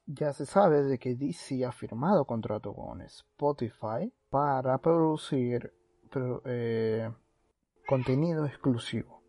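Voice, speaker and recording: A man co-hosting a podcast in a casual, halting way, with frequent false starts. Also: medium pitch, dark tone, no room sound. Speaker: podcast co-host.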